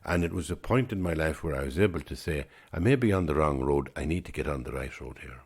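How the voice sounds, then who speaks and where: A man in his sixties with an extremely deep, husky voice hosts a solo podcast. He muses thoughtfully, circling back and repeating words as he works out each idea. His tone stays unhurried and reflective. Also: expressive, darkish tone. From Ireland. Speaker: podcast host.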